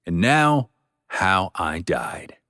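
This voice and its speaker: A man's voice: low voice, very animated, drawn-out words, sharp tone, no echo, clean studio sound. A man hosting a podcast, giving a conversational, enthusiastic and emphatic introduction to a sponsored show. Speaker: podcast host.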